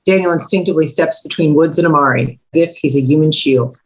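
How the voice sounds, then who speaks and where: A woman's voice: low voice, fast, low-quality recording. A woman reading scripted stage directions as the narrator of a screenplay table read.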